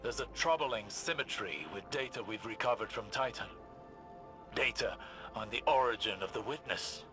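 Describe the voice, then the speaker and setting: An actor playing a grave, cautious scholarly mentor in a video game drama, speaking in a deliberate, formal, thoughtful manner. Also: male voice, mid-range voice, close to an everyday voice. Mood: troubled. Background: music.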